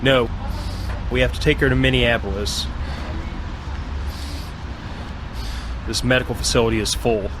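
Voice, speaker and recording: Audio drama major, male actor: resolute, principled, mid-pitched, clear outdoor engine noise around him. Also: fairly even intonation, fairly quick, natural voice. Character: determined, compassionate male army major.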